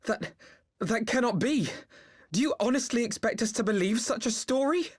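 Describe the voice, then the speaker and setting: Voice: medium pitch, lively intonation, moderate pace, breathy edge. An actor playing an earnest, defiant young hero in a video game cutscene, formal and impassioned. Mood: shocked, indignant.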